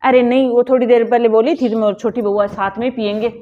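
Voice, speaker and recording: A domineering mother-in-law, acted by a woman in a home family skit, sharp-tongued with the daughter-in-law she does not favour. Her voice sits high and comes through a dull, lo-fi recording. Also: steady tone, fast, slight background noise, close to an everyday voice. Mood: doting. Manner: sharp scolding, turns remorseful. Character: domineering middle-aged mother-in-law, partial to one daughter-in-law.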